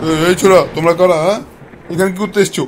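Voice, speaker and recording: A man's voice: high-pitched, steady tone, racing, slight background noise, lightly acted. A man voicing a menacing, scheming, blustering husband in a comic cartoon. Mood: threatening. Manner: bullying, threatening. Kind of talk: comic cartoon villain dialogue.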